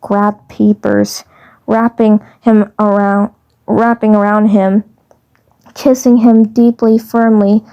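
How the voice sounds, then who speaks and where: A woman reading story narration for an amateur fan dub, halting and often repeating words and phrases. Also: medium pitch, level tone, lo-fi sound. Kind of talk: story narration read aloud.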